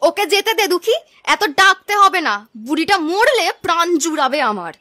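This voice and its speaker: Woman as a shrill, insolent young village girl. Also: sing-song, normal speed, faint music behind, full character voice. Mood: spiteful. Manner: sharp-tongued, sneering. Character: spoiled, insolent, ambitious young village granddaughter. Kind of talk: animated folk tale acting.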